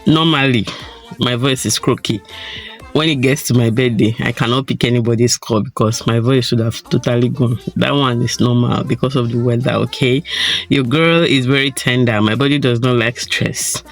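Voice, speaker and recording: A Nigerian woman with a croaky, tired voice, giving her opinions in a chatty vlog and pushing her points with rhetorical questions. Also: extremely low pitch, steady tone, moderate pace, faint music behind. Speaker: vlogger.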